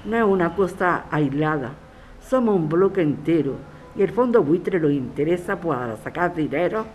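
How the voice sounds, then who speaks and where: A woman's voice: on the low side, expressive, moderate pace, darkish tone, highs cut off, close to an everyday voice. A woman playing a defiant grandmother who resists eviction, interviewed in a radio drama. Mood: worried, defiant. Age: older. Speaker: interviewed tenant. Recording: clear ambient street noise.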